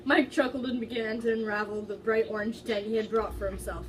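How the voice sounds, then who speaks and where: Higher-voiced woman reading aloud, off-mic. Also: fairly even intonation, a little fast. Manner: stumbles over words while reading. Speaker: amateur reader. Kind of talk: dramatic fan fiction reading, casual and giggly. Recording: distant mic, faint background noise.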